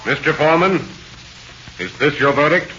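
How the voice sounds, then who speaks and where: An actor playing a stern, formal trial judge with solemn, declamatory delivery in 1940s radio drama. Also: male voice, fairly high pitch, lively intonation, moderate pace, close to an everyday voice. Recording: muffled, hissy 1940s radio recording.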